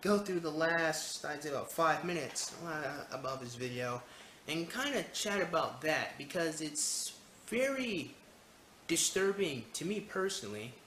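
A man's voice: on the high side, animated, unhurried, pushed and bright. A man giving rambling video commentary, hesitant and often digressing. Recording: echoey, noisy homemade video.

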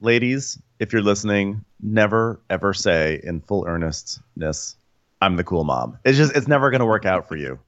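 A man's voice: fairly low pitch, lively intonation, lo-fi sound. A man co-hosting a comedy recap podcast, chatty and exasperated as he narrates scenes. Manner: chatty, exasperated, rushed storytelling with impressions. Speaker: podcast co-host.

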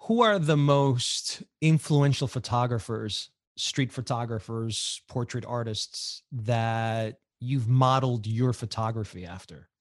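A man's voice: mid-range voice, slow pace, few pauses, no room sound, clean studio sound. A man interviewing a guest with brief, direct questions.

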